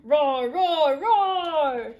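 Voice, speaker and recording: Woman playing a little young dinosaur for a children's storybook: high-pitched, excitable, boisterous roarer. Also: extremely slow, highs cut off, full character voice. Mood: excited. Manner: shouted roars and short exclamations.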